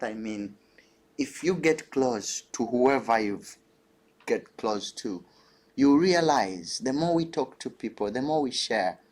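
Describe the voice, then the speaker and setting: A man from Uganda, a dance artist, telling stories animatedly on a panel and laughing often. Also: medium pitch. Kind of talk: panel discussion.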